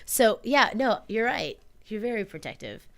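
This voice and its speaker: Clear-voiced American woman chatting on radio. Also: on the high side, very animated, moderate pace, fairly bright tone. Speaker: radio co-host. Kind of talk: casual chat.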